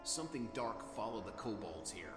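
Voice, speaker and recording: A man voicing a solemn, logical machine-being guarding a tomb in a video game, speaking formally and gravely. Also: lowish voice, sing-song, moderate pace. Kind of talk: video game quest dialogue. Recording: long hall reverb, music behind. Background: game music.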